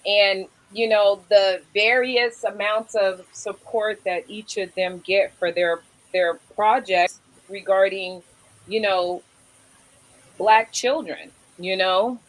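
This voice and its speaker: A woman's voice: medium pitch, fairly even intonation, slow, poor audio. A woman with a Brooklyn accent co-hosting a casual livestream chat, rambling and filling nearly every phrase with "you know". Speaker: livestream co-host.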